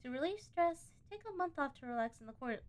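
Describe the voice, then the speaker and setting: A woman doing a casual let's play, reading on-screen game text aloud and breaking off to think out loud. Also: high-pitched, lively intonation, a little fast. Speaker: gaming video host.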